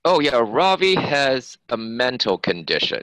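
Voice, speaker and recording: A man's voice: mid-range voice, sing-song, measured pace, fairly bright tone, no room sound, rough recording. A man being interviewed as an author, explaining his fiction conversationally in long, run-on sentences.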